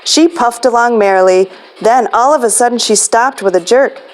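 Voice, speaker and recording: A woman's voice: a little high, normal speed, poor audio. A woman hosting a children's storytime as a librarian, reading a picture book aloud.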